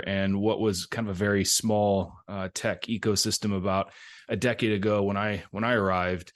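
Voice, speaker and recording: A middle-aged man, a tech executive and interview guest, explaining things conversationally. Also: fairly low pitch.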